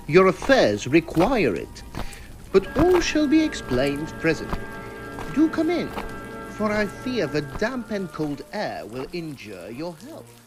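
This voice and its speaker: A man playing a smooth, scheming villainous guardian with false courtesy in an old-time radio melodrama, formal and theatrical. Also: on the high side, huge pitch swings, slow pace, music in the background, heavily acted, rough recording. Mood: oily courtesy.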